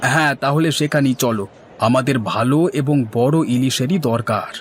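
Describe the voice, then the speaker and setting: A man's voice: mid-range voice, moderate pace, lightly acted. A man voicing an easygoing, food-loving young man in a cartoon folktale. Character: easygoing young man who loves his aunt's cooking. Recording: music bed under the voice.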